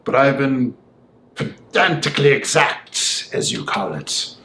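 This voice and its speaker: A man voicing an opinionated young gentleman in an audiobook, talking in a formal, emphatic, discursive way. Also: mid-range voice, big pitch swings, a little slow, reverberant, exaggerated voice. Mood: defensive. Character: opinionated, talkative young Victorian gentleman, Mister Enfield. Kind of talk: audiobook character voice.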